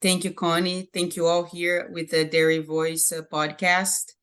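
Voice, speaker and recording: This is a woman from Brazil with a Brazilian accent, speaking English in a low, steady voice. She is a guest in an interview, and she pauses often and adds fillers as she answers. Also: clean tone. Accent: Brazilian Portuguese. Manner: hesitant, many fillers. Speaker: guest, animal nutrition director.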